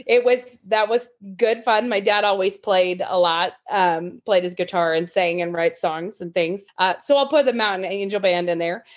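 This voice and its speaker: A woman's voice: mid-range voice, normal speed, few pauses. A woman from Idaho talking as a podcast guest, frank and laughing often, thinking aloud with ums. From Idaho, USA. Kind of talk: casual interview chat.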